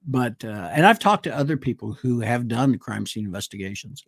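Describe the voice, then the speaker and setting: A man's voice: medium pitch, animated, normal speed, no room sound, clean studio sound. A man co-hosting a casual talk show, speaking hesitantly with many fillers as he reaches for names. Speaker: co-host. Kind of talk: casual chat. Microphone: studio mic on a live stream.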